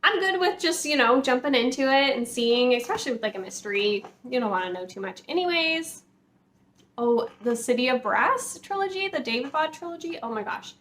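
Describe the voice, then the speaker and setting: Woman, higher-pitched voice, casual vlogger chat, gushing, enthusiastic, frequent "like," audible room noise behind her. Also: lively intonation, fairly quick, fairly bright tone. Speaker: book vlogger. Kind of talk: casual chatty book talk to viewers. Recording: clear background noise, room ambience.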